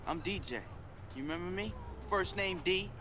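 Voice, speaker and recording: A man's voice: high voice, moderate pace, background noise, close to an everyday voice. A man playing a casual, self-assured young basketball hopeful in a video game cutscene. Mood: cocky. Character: cocky, easygoing young male streetball hopeful.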